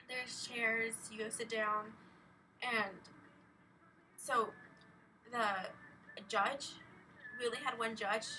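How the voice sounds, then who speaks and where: A teenage young woman tells a story casually in a rambling, animated way, peppered with "like". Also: on the high side. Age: teens, a minor. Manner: rambling, animated, heavy use of "like". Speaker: vlogger. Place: small carpeted bedroom, indoors. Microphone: webcam at desk distance. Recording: slightly distant webcam mic, faint noise.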